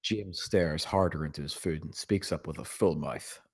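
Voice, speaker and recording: A man's voice: very deep voice, normal speed, gravelly, a little mellow, breathy edge. A man reading stage directions as narrator at a screenplay table read.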